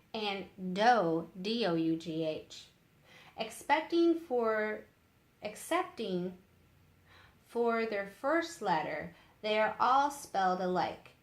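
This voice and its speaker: Woman reading as an insistent, exasperated Frenchman, echoey room. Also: medium pitch. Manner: emphatic, protesting. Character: excitable Frenchman teaching pronunciation, insistent and exasperated.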